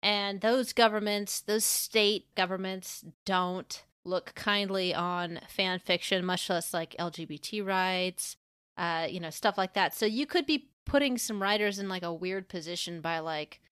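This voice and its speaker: A woman podcast co-host with a clear, smooth voice of medium pitch talks casually. She rambles informally as she thinks aloud, and the sound is very dry. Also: measured pace, fairly bright tone, no echo.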